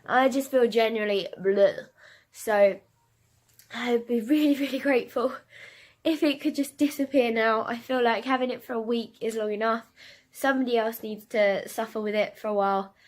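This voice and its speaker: A woman's voice: a little high, steady tone, normal speed. A young woman chatting casually in a video diary, congested with a cold and a cough. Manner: chatty and rambling, with many fillers. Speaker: vlogger.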